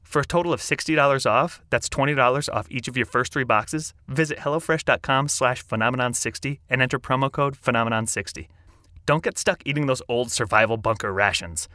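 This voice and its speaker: A man's voice: medium pitch, fairly quick, bright, forceful tone, no room sound, studio quality. A man reading a scripted podcast sponsor advertisement.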